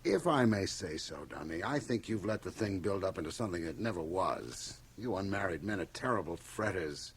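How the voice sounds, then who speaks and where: A man's voice: sits low, expressive, moderate pace, hardly any pauses, husky, exaggerated voice. An actor playing a self-important older businessman in a radio drama, brusque, blustering and dismissive. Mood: condescending. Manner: brusque, pompous. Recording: cassette transfer of 1980 radio drama.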